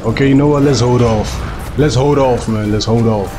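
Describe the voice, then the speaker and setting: A young man with a cold, hosting a gaming channel and talking over his gameplay in a chatty, joking way, with a hoarse voice. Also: medium pitch, moderate pace, over music. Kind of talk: casual gameplay commentary.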